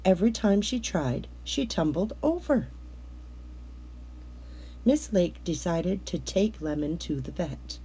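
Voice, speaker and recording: A woman reading a children's picture book aloud as a narrator, in a measured, expressive storytelling manner with drawn-out words. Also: lowish voice, husky, poor audio.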